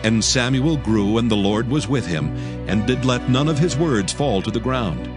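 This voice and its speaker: A man's voice: medium pitch, expressive, moderate pace, music in the background. A man narrating scripture as a scripted, solemn and formal story.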